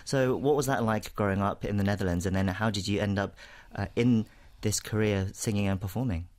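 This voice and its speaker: A man's voice: fairly low pitch, a little fast, a little mellow. A man hosting a radio interview, asking questions conversationally and backing the guest with frequent murmurs. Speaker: radio host. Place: radio studio. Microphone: studio microphone on a stand.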